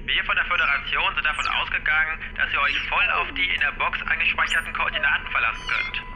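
A man playing an exasperated space federation envoy in a German audio drama, with a sharp voice. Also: on the high side, wildly swooping pitch, fast talker, dull audio, music in the background. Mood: matter-of-fact.

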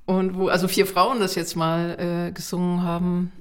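A middle-aged woman from Munich, chatty and expressive, telling personal stories as an interview guest. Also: on the low side, normal speed, a little hiss or hum. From Munich, Germany. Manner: chatty, expressive, acts out remembered remarks. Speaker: interview guest, children's songwriter and singer. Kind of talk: animated personal storytelling.

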